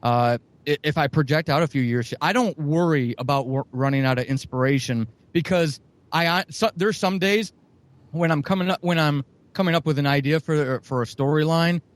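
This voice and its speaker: Halting, higher-voiced man writer. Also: no echo. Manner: thoughtful, halting restarts. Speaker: show writer. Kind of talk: casual interview chat.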